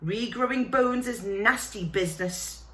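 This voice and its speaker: A woman voicing a stern, fussy older nurse who scolds briskly. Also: a little high, fairly bright tone, roomy sound, voice pushed up. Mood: scolding.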